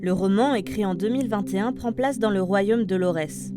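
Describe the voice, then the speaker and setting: A woman's voice: mid-range voice, moderate pace. A woman reading scripted podcast news as presenter, addressing listeners like an announcer. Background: light music.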